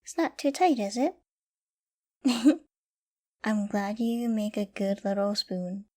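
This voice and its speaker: A woman playing a doting, affectionate girlfriend in an intimate ASMR roleplay, tender and cooing with gentle teasing. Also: mid-range voice, slow pace. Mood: cozy, gentle. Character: doting, affectionate young girlfriend caring for her sick partner.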